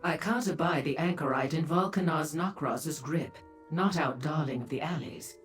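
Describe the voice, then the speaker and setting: A woman's voice: sits low, moderate pace, soft background music, lightly acted. A woman voicing a sly, seductive and haughty female demon dealer in a fantasy video game, with a teasing manner. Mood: haughty, wry.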